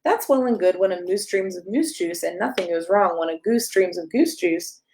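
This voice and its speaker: A woman reads a rhyming picture book aloud for children. Her voice sits at a middle pitch, and she delivers the lines in a playful, sing-song storybook manner, giving the rhymes clear emphasis. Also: sing-song, moderate pace, darkish tone, slight background noise. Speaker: pastor and storyteller reading aloud.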